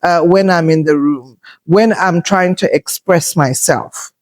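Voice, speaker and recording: A woman in her fifties with a loud voice, talking in a reflective, assertive way as a podcast host and pausing often on fillers. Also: on the low side. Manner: assertive, unhurried, thoughtful with filler pauses. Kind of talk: reflective conversational talk.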